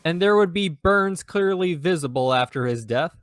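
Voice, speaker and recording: A man's voice: on the high side, expressive, normal speed, fairly bright tone, dry recording, studio quality. A man playing an earnest defending counsel, formal and persuasive, in an old-time radio drama. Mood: probing. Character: earnest, pleading defending counsel addressing court and jury.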